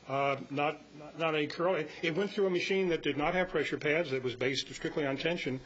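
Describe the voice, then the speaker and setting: An older man giving an informal, rambling technical talk at a club meeting, halting and full of anecdotes. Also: fairly high pitch, fairly bright tone, slightly rough. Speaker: club speaker presenting on audio tape. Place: indoor club meeting, live audio recording. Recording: muffled, slightly noisy meeting-room recording.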